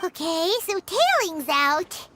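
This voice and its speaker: A squeaky, tiny fairy girl. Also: sing-song, slow pace, exaggerated voice. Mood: curious, puzzled. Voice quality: squeaky, childlike. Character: tiny childlike floating fairy girl companion, curious, naive. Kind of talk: scripted video game dialogue. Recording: loud game music under the voice.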